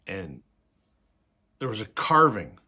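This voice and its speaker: A man hosting a vinyl record channel, telling stories conversationally with plenty of fillers, a little hoarse from a cold. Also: on the low side, very animated, slow pace, pushed and bright. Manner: conversational storytelling with frequent fillers. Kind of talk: casual talk to camera.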